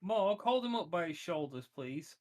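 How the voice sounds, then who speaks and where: A man's voice: sits high, animated, moderate pace, darkish tone. A man playing in a tabletop roleplaying game, talking casually with swearing and announcing what his character does.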